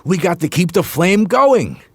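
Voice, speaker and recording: Slick, animated Hollywood agent man. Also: high-pitched, unhurried, husky, no room sound, heavily acted. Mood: smooth, reassuring. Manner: slick, cocky salesman banter. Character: brash, pushy, flattering Hollywood talent agent man. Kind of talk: naturalistic audio drama dialogue.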